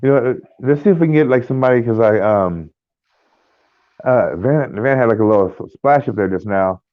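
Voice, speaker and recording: An American man co-hosting a casual podcast, talking in a rambling, halting way with many fillers and restarts. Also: medium pitch, animated, dry recording. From United States. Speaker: podcast co-host. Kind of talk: casual chat. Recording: tinny phone-like line.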